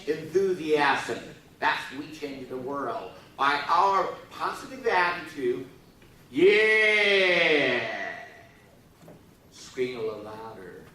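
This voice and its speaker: Lively man in an echoey hall. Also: fairly high pitch, slow pace, recorded from a distance. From Taiwan. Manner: playful, teasing, prods the audience to respond. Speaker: speaker at a youth forum. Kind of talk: joking speech to a live audience.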